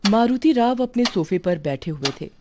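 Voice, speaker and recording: A woman's voice: medium pitch, lively intonation, normal speed, noisy background. A woman giving a scripted narration of a horror story.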